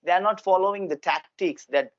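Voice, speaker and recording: A man's voice: high-pitched, fairly quick, no room sound. Indian guy, gujarati speaker, earnest, says stuff twice, dull dry lo-fi sound. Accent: Indian English. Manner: earnest, repeats phrases for emphasis. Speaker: host, English learner. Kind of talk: casual conversation.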